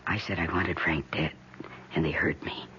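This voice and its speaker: Fragile American housewife, young, obsessive and intense, classic radio drama, dull faintly hissy recording. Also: female voice, rumbling low, fairly even intonation, moderate pace, a bit bright. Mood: insistent, agitated. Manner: intense, dramatic, breathy emphasis. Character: fragile, obsessive housewife confessing her killer plants' deed.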